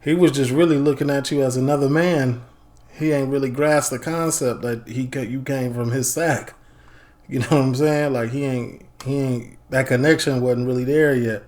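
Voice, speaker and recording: Animated man giving slangy reaction commentary. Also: mid-range voice. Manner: animated, slang-heavy, jumps in with quick remarks. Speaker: reaction video host.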